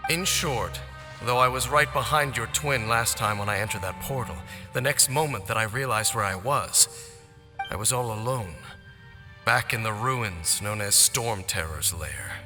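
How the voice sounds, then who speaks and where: Man voicing a grave swordsman, low voice, formal, measured, game cutscene, string music. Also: natural voice. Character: grave, weary wandering swordsman, adult man, solemn and guarded. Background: game score music with strings.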